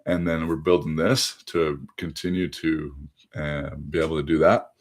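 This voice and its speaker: A man hosting a casual phone livestream, pitching a side business to viewers, his voice a little hoarse from being under the weather. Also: level tone, normal speed. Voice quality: conversational, addresses viewers directly, frequent fillers. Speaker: livestream host.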